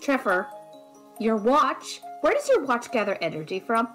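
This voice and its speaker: An exasperated, brainy young-spirited friend played by a woman, with a fairly high voice. She is wry, teasing and fretful in a casual audio drama style, over faint music. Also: big pitch swings, normal speed, a bit bright. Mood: patient, explaining. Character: Razara, exasperated, caring, brainy female friend. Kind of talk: conversational audio drama acting.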